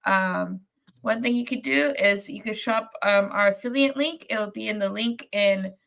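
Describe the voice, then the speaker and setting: Young woman, nasal congested voice, gravelly fry, rambling casual host, lots of ums. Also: medium pitch, unhurried, fairly bright tone. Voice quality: nasal from a cold. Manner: rambling, many ums and restarts, reads viewer comments. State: sick, congested. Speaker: podcast host. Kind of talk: casual live-stream chat.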